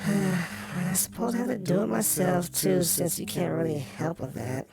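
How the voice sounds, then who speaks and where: A woman's voice: on the low side, measured pace, vocal fry. A woman voicing a dramatic, jealous and insecure female doll in a visual novel game. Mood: sullen, resigned. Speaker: voice of a toy character. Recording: music playing behind the voice. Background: music.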